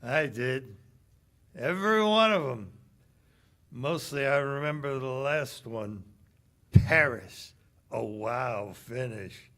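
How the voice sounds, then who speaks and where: An actor playing a bitter, heartbroken American cafe owner in 1940s radio drama style, sardonic, slangy and clipped. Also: male voice, medium pitch, animated, slow, pauses often, pushed and bright. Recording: live stage radio play with music.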